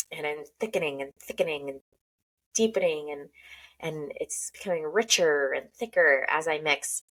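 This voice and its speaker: Woman with a lowish voice guiding a slow breathing meditation, soft and unhurried, talks like she's doing it herself. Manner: slow, soft, speaking in first person as she guides. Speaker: meditation guide.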